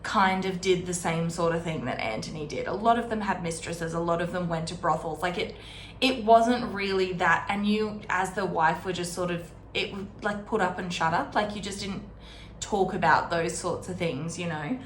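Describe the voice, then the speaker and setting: A woman's voice: on the low side, normal speed. Chatty woman rambling in an echoey room. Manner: chatty, wry, digressive with asides. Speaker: host of a book readalong series. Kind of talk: casual rambling book discussion. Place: indoor room at a desk. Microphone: camera set on or near desk. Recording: roomy echo, background room noise.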